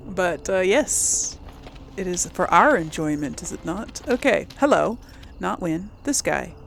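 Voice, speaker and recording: Woman with lively, slightly creaky voice. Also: on the low side, a little slow. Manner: chatty, thinking aloud. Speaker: video game streamer, host. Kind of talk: casual let's play commentary. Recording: faint background game noise.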